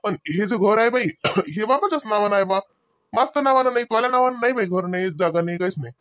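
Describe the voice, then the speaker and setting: A man's voice: high voice, fast, a bit bright, no room sound, clean studio sound. A man voicing an evasive village brother in a comedy cartoon, placating and repeating himself in a rural Vidarbha accent. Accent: rural Maharashtra, Vidarbha. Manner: placating, chuckling, repetitive.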